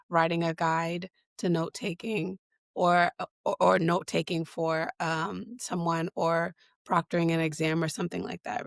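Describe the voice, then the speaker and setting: Low-voiced woman with many fillers. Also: gravelly, no echo, clean studio sound. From Boston. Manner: hesitant, many fillers and restarts. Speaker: guest, digital equity leader. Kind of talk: conversational interview answers.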